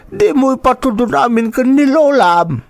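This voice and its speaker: A man's voice: very high-pitched, sing-song, moderate pace, creaky, dry recording, heavily acted. A man playing a strict old grandfather in a radio drama, speaking with an Ilocano accent and an admonishing, instructive manner. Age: older. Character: strict, hardworking old grandfather in a rural household. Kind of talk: Filipino radio drama acting.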